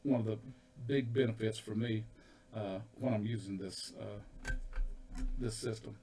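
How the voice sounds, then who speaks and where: An older man casually demonstrating his audio gear, explaining in a halting, unhurried way with pauses. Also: lowish voice. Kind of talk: casual hands-on equipment demonstration. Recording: noisy home recording with equipment tones. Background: steady test tones at times.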